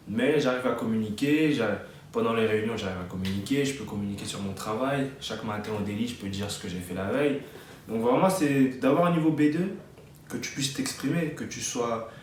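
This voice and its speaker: A man's voice: medium pitch, very echoey, far from the mic, slight background noise. A man in his late twenties from France, giving casual advice in a chatty vlog, with many fillers and restarts. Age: 20s. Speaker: vlogger.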